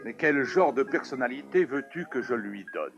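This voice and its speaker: This is a genie from a lamp, with a mannered, old-world politeness. His pitch swings about animatedly from line to line. The sound is muffled and lo-fi, like a worn VHS tape. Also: lively intonation, normal speed, vocal fry, over music. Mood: courteous. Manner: courteous, old-fashioned. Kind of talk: dubbed cartoon voice acting. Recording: dull lo-fi VHS tape audio.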